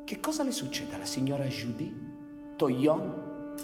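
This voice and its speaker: A man's voice: mid-range voice, huge pitch swings, slow, over music. A man playing a brash, hot-headed outlaw, crude and mockingly defiant, in a theatrical radio drama. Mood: urgent, angry. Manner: sardonic, swearing, theatrical. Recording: roomy echoing stage sound, distant, faint noise.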